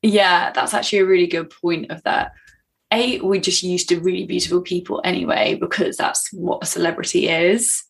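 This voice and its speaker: Young woman, husky, gravelly, tired, casual podcast chat. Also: lowish voice, steady tone, normal speed. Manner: chatty, laughs often. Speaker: podcast co-host.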